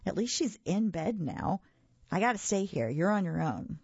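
A woman voicing a gruff, boastful male monster with a growling, menacing delivery in a children's story. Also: on the low side, sing-song, moderate pace, darkish tone, no echo, highs cut off, heavily acted.

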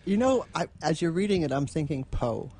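A man's voice: on the high side, expressive, brisk, dark tone, husky. A man chatting casually as the co-host of a radio show. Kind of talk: casual chat.

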